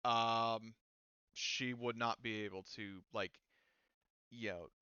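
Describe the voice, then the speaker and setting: A man works as game master of a tabletop roleplaying game, improvising narration in a loose, chatty manner. His voice is clean and smooth at a middling pitch, with a close, dry sound. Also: steady tone, slow, sharp tone, no echo, dull audio. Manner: casual, conversational.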